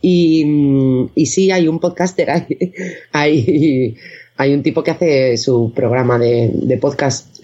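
A man's voice: medium pitch, slow, dark tone, highs cut off. A man from chatting casually as an interview guest, hesitant and often correcting himself. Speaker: guest, audio fiction director.

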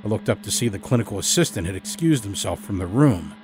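A man's voice: fairly low pitch, music in the background. Male horror storyteller over a musical score. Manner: dramatic, expressive, deliberate emphasis. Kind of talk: scripted first-person horror audiobook narration.

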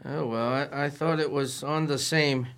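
A man's voice: on the high side, steady tone, moderate pace, a bit bright, clear, resonant tone. An actor playing a blustering, flustered working-class man in a radio comedy, stammering and ungrammatical as he tries to act like a big sport.

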